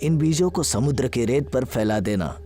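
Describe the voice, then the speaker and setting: A man's voice: mid-range voice, expressive, moderate pace, mellow, soft background music, lightly acted. A man voicing a solemn, benevolent sea god in a cartoon moral story, speaking in stately pronouncements. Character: sea god in human speech, solemn, benevolent male deity.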